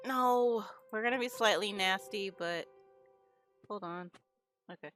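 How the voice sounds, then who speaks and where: A woman streamer giving casual, excitable commentary while playing a game. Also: mid-range voice, measured pace, soft background music. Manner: excitable, expressive. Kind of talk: casual reactive gameplay commentary.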